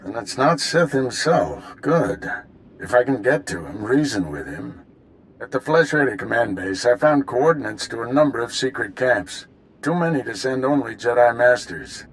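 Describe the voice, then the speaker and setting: A man's voice: mid-range voice, lively intonation, normal speed, close to an everyday voice, rough recording. An actor playing a seasoned warrior-monk mentor in a video game, businesslike and authoritative as he gives orders. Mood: grave, commanding. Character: seasoned, steady Jedi master mentor giving orders. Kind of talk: video game drama acting.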